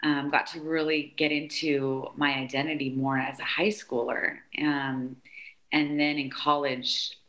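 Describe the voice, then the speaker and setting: A woman in her forties with a strikingly deep voice for a woman, speaking as a guest author. Born in Argentina and raised in California, she talks in an open, chatty way, often pausing with ums. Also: level tone, fairly bright tone. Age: 40s. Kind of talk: casual interview conversation.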